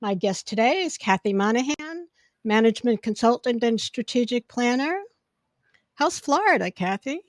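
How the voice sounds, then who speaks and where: A woman hosting a podcast in casual chat, with a loud voice that projects when she gets excited. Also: a little high, moderate pace, no room sound, clean studio sound. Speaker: podcast host. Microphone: podcast microphone.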